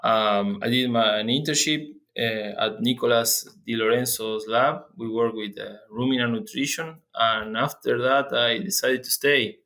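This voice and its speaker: Young adult man from Argentina, guest expert, hesitant and restarting often, mid-pitched voice. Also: steady tone, pushed and bright. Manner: halting, frequent restarts and fillers. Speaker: guest researcher. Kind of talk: interview.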